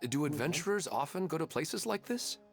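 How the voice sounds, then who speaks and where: Earnest, wistful devoted husband. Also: male voice, a little high, very animated, a little fast, creaky. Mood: polite. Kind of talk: English video game voice acting. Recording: faint game music behind.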